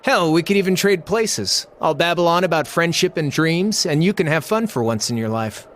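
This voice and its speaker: A man's voice: fairly high pitch, lively intonation, moderate pace, fairly bright tone. An actor playing a teasing, cocky young male rival in a video game cutscene. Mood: mocking, amused. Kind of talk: English dub video game cutscene acting. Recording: faint background noise.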